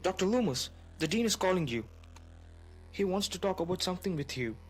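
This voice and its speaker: A man's voice: sits high, big pitch swings, a little fast. A man playing a serious, urgent police officer in an amateur audio drama, speaking emphatically. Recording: humming background noise.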